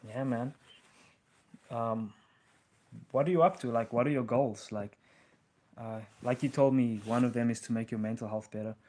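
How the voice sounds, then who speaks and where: A man's voice: medium pitch, normal speed, long pauses, darkish tone. A young man hosting a casual live stream, answering viewer questions in loose slang. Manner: laid-back slang, calls listeners bro.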